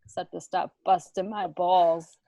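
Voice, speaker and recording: A woman's voice: fairly low pitch, steady tone, unhurried, mellow, no room sound. A woman acting a crude, irritable, cocky male gangster in an amateur radio play table read. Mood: exasperated.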